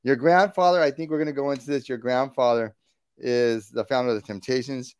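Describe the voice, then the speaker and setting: A man's voice: medium pitch, steady tone, a little fast, a little mellow, smooth, no echo. A man hosting a podcast interview, enthusiastic and rambling, restarting his sentences. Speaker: podcast host. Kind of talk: casual interview.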